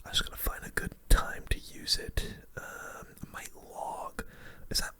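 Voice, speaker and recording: A host giving relaxed, casual game commentary entirely in a breathy whisper, in an ASMR style close to the microphone. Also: measured pace. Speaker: gaming video host.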